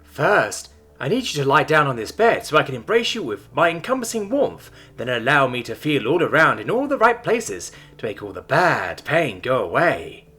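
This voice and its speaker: A seductive female android nurse, played by a man in an audio story. Her purring voice is breathy and husky, and it rises and dips in wide, lilting sweeps. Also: mid-range voice, very animated, normal speed, bright, forceful tone, soft background music, pitched above the performer's own voice, exaggerated voice. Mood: sultry.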